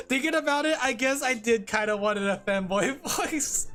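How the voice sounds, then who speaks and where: A male vtuber who chats loosely with his audience while he draws. He rambles, laughs often and makes fun of himself. Soft music plays faintly behind him. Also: very high voice. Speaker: vtuber streamer. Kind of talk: casual livestream chat while drawing.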